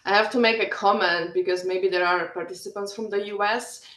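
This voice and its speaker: A woman introduces herself on an industry panel in a lively, loose way, laughing between phrases. Her voice sits at an average pitch. The recording has a roomy echo around her. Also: a little fast. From Germany.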